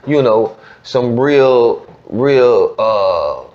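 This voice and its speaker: A man's voice: medium pitch, lively intonation, drawn-out words, darkish tone. A man with a Southern US accent hosting a casual interview in loose, slangy talk full of verbal tags. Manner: slangy, frequent "you know what I'm saying". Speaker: podcast host interviewing. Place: indoor studio desk. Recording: dull, lo-fi studio recording.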